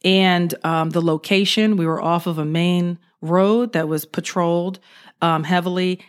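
A woman police officer telling an animated story in an interview, acting out what she said at the time. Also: fairly low pitch, measured pace, clear, resonant tone. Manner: animated, acts out her own quotes.

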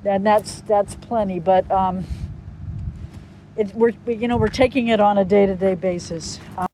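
A woman's voice: medium pitch, steady tone, normal speed, dark tone, rough recording. Female garden staffer, unscripted interview delivery, recorded outside with light ambient noise. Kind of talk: conversational interview. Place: outdoors in a garden. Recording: faint outdoor ambient noise.

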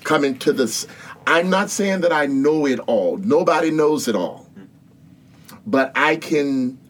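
Guy in his 60s, slow earnest preachy talk, takes his time. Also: on the high side, animated. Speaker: guest. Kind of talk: spiritual interview talk.

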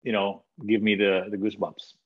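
A man's voice: on the low side, level tone, fairly quick, phone-quality sound. A man from Spain, a podcast guest, talking casually about music with many restarts and hesitations. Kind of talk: casual interview conversation.

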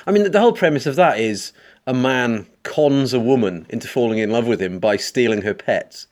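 A man's voice: mid-range voice, lively intonation, brisk. A man hosting a podcast, playful and teasing in casual chat. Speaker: podcast host.

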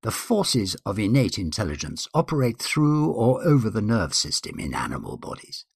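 A man giving a formal scripted reading of numbered statements in a deliberate, declamatory way with measured phrasing. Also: lowish voice, sing-song, vocal fry, slightly rough, no room sound, studio quality. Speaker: guest reader.

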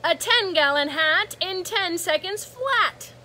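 A woman reading a rhythmic picture book aloud to children like a teacher, animated and sing-song, with asides to her listeners. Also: very high voice, slight background noise. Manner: animated, sing-song, drawn-out exclamations and gasps; engaging listeners with asides and prompts. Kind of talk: rhythmic storybook read-aloud.

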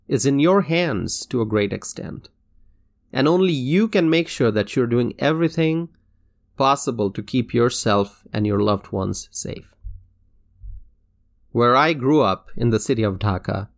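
A man from Bangladesh hosting a solo talk show, speaking in an earnest, measured way with occasional restarts. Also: medium pitch, vocal fry. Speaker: podcast host. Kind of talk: reflective solo commentary.

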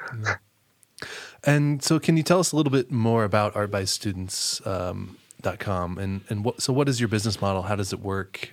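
A man's voice: lowish voice, moderate pace. A man co-hosting a podcast, prompting the guest with short questions and backchannel responses. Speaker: podcast co-host. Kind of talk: reading a sponsor ad and interviewing.

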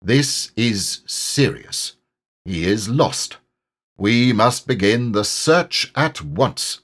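A man's voice: on the low side, lively intonation, extremely slow, fairly bright tone, full character voice. A man voicing a self-important, fussy rabbit from a storybook, speaking with pompous deliberation.

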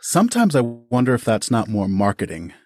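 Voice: mid-range voice, huge pitch swings, brisk, darkish tone, no echo. A guest of about thirty giving thoughtful, articulate and enthusiastic answers in a conversational interview. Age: around 30. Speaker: interview guest, audio drama podcast creator.

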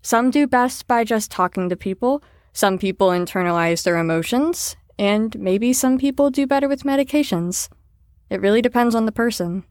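A woman's voice: a little high, normal speed, a little mellow, dry recording, clean studio sound, natural voice. A woman in an audio drama playing an earnest, caring facility staffer who talks in a reassuring, sincere, slightly rambling way. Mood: earnest, encouraging.

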